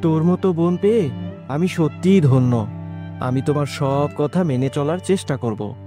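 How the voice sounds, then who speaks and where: A man's voice: on the high side, lively intonation, normal speed, over music, lightly acted. A man voicing a sad, obedient orphaned schoolboy in a children's cartoon, pleading and polite.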